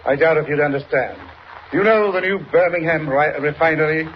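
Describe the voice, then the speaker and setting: Man acting fussy, high-pitched old radio scientist. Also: fairly quick, lightly acted. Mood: hesitant, explaining. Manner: fussy, hesitant, genteel, easily affronted. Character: absent-minded, evasive, fussy male scientist, meteorologist. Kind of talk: 1940s radio drama acting. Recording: muffled, noisy vintage radio recording.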